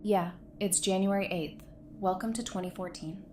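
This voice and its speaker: A woman's voice: mid-range voice, moderate pace, a little mellow, a little hiss or hum, natural voice. A woman playing a practical, easygoing survivor in an audio drama, speaking in a matter-of-fact, conversational way. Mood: relaxed, probing.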